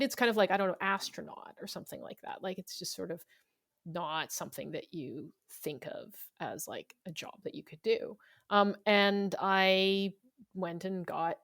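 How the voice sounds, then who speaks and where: A woman's voice: lowish voice, no room sound, clean studio sound. A woman giving informal, talkative interview answers, explaining things conversationally as a guest author. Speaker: interviewed novelist.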